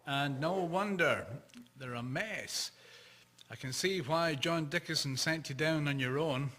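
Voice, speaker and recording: A man's voice: a little high, measured pace, sharp tone. A man playing a folksy, hearty old-time blacksmith, exclamatory, in a radio drama. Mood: wry, obliging.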